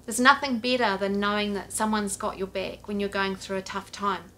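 A middle-aged woman preaches straight to the camera from a sofa in her living room, earnest and direct, her voice sitting at an ordinary middle pitch. A faint low rumble runs under the recording. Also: steady tone, normal speed, fairly bright tone, rough recording. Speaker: pastor. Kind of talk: home church sermon to camera. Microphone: camera at a few feet, head and shoulders framing.

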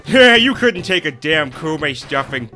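A man's voice: a little high, sing-song, a little slow, sharp tone, soft background music, full character voice. A man voicing a cocky, foul-mouthed wisecracker in a comedic game dub, with a casual mocking manner. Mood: taunting.

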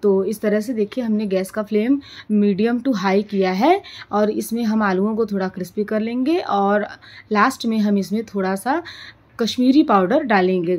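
A woman's voice: mid-range voice, darkish tone. A woman narrating a home cooking tutorial in an explanatory, step-by-step way. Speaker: home cooking show host.

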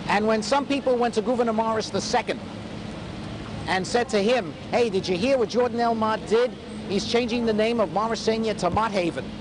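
Older New man lecturing outdoors. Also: high-pitched. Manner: animated storytelling lecturer, fond of puns. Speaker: tour guide and historian. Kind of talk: outdoor walking tour lecture. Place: outdoors on a city street by a graffiti wall. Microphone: camcorder a few feet away. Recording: noisy 1980s camcorder street recording. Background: city traffic.

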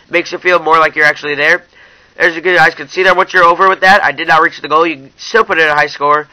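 Young guy doing a casual app walkthrough, really bright sharp voice, kinda high for a guy. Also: dry recording, poor audio. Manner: informal, addresses viewers as you guys. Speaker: app reviewer. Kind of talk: casual hands-on app review narration.

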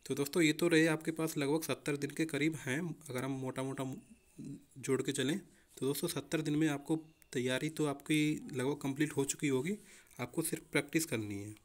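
A man's voice: on the high side, steady tone, a little fast. A young man explaining exam preparation informally as a coaching teacher, often addressing listeners as friends.

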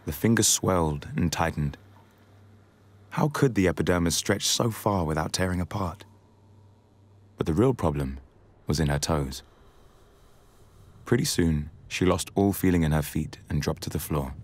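A man narrating a poetry film in a measured, deadpan, storytelling way. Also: low voice. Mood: measured, wry. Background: prominent music.

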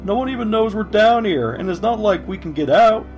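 A man's voice: high-pitched, expressive, brisk, a little mellow, faint music behind. A man playing a bitter, protective young skeleton brother in a comic dub, talking urgently and informally. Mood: bitter, frightened. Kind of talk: comic dub dramatic acting.

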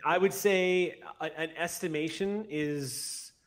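A man in his forties narrating a tabletop roleplay game as game master, in a measured, deliberate way. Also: on the high side, a bit bright. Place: indoor room at home, on a video call. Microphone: close desk microphone on an arm.

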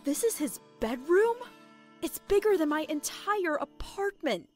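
A woman's voice: high voice, lively intonation, a little slow, soft background music. A woman acting an anxious, hard-up widowed mother in an audio drama. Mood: awed, unsure.

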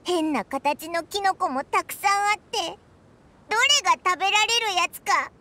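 A woman voicing a tiny childlike flying companion in an anime game, squeaky, cheeky and excitable, talking in a brash, informal way. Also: moderate pace. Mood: flustered. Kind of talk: Japanese anime game voice acting.

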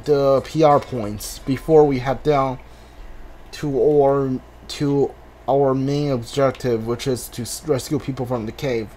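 A man giving casual video game commentary, thinking aloud haltingly and repeating his words as he plays. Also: medium pitch, darkish tone, slight background noise. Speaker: gamer, playthrough host. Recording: game music behind the voice. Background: video game music.

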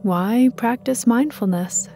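Slow, mellow female meditation guide. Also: on the high side, soft background music. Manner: calm, soothing, measured.

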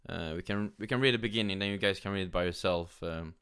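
A man from Sweden with a Swedish accent, giving casual, somewhat halting gaming news commentary. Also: low-pitched, a bit bright, clean tone. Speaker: gaming YouTuber. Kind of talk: casual news commentary, partly reading aloud.

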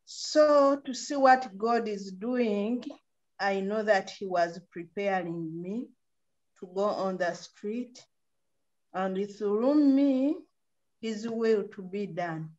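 A woman from Uganda with a Ugandan accent, giving an earnest testimony as a missionary in a video call interview. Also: mid-range voice. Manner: slow, earnest testimony with soft laughs. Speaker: missionary, orphanage co-founder. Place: home room, sharing the frame with her husband. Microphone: video call webcam. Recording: muffled lo-fi video call audio.

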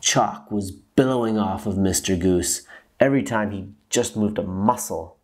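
A man in his thirties reading a children's story aloud with dramatic, deliberate emphasis and stretched words. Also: fairly low pitch, darkish tone. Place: small room at home, indoors.

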